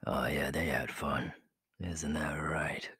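A cocky, cruel young werewolf king, acted by a man for an ASMR roleplay. His voice is very low and heavily breathy, often falling into a husky whisper. He takes his time, teasing and possessive, with menace under it. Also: steady tone, a bit bright, dry recording, studio quality, exaggerated voice. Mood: gloating, teasing. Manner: slow, teasing, menacing drawl.

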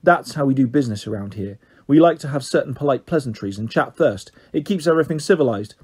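A man voicing a calm, polite female Bichon Frise crime boss who speaks slowly. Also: mid-range voice, breathy edge.

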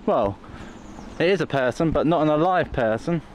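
A man's voice: on the high side, animated, moderate pace. A man chatting casually as a vlog host in a hesitant, self-interrupting way, recorded on a handheld camera outdoors. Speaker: YouTuber host. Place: outdoors in muddy woods. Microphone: handheld camera while walking. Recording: noisy outdoor camera audio.

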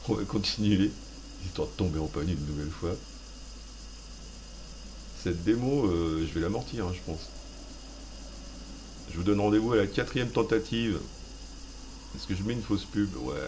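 A man with a deep, low voice talks over a game he is playing. His commentary is rambling and self-mocking, full of playful asides. Game sounds run clearly underneath. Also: lively intonation, a little slow, pauses often, darkish tone, a little hiss or hum. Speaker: gaming video host. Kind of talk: joking let's play commentary.

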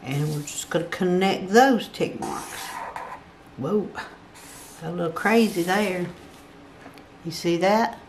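An older woman talks through a drawing lesson at her work table, counting off squares one by one. Her voice sits a little low and moves at an unhurried, very slow pace. A faint hiss lingers under the recording. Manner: slow, patient counting aloud while drawing. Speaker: craft instructor. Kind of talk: step-by-step drawing tutorial.

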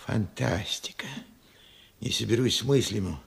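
Husky, creaky old man. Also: lowish voice, heavily acted. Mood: wondering, insistent. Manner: halting, musing, swinging from murmurs to outbursts. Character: weary, forgetful old man, wistful and searching. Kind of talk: Soviet radio play acting.

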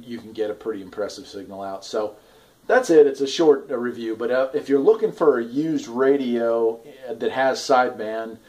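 A man in his forties talking casually and haltingly in an off-the-cuff video review, recorded in a garage on a camera at arm's length. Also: mid-range voice, a little mellow, rough recording. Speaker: product reviewer, farm owner. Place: garage, indoors.

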